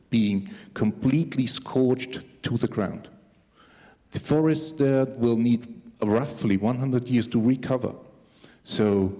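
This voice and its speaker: A man from Austria presenting at a press conference as a climate initiative spokesman, explaining in a halting way. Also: medium pitch, warm tone. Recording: echoey hall with long reverb.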